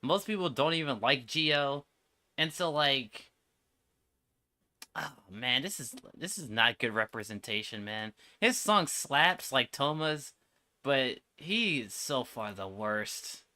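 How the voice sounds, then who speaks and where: Very bright-voiced man, streaming reactions. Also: mid-range voice, lively intonation, slow. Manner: slangy, exasperated, repetitive. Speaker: streamer reacting to game trailers. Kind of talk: casual reaction livestream commentary.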